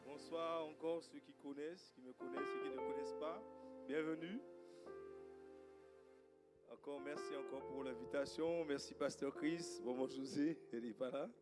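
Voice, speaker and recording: A man from Guadeloupe with a Guadeloupean accent, whose first language is Creole, greeting a congregation as guest preacher in a halting way with many fillers. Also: a little high, fairly even intonation, loud music behind the voice. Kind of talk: informal welcome before a sermon. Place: church service.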